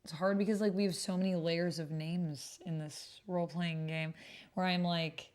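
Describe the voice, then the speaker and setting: A woman's voice: lowish voice, fairly even intonation, moderate pace, a little mellow, clear, resonant tone. A woman streamer chatting casually and thinking aloud as she hosts an online roleplaying game, sometimes muttering to herself. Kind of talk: casual livestream chat while playing.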